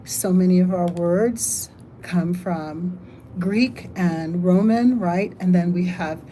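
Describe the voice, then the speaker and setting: An older woman from the United States hosting a casual live stream, chatting, reading comments aloud and thinking out loud. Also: fairly low pitch, takes it slowly, slight background noise. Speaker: livestream host.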